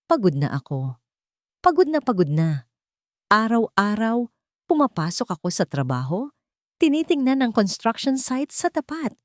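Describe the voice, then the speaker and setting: A woman voicing a weary, frightened journal writer in a dramatic story, confessing haltingly in short phrases. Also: a little high, big pitch swings, no echo, studio quality, voice pushed up. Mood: weary, fearful. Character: weary, frightened adult writing a last journal entry.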